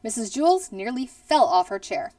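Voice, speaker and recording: A woman in her thirties reading a children's story aloud expressively, in a deliberate storyteller's manner. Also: a little high, very animated, dark tone, no echo, far from the mic. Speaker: teacher reading aloud to pupils. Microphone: headset boom mic.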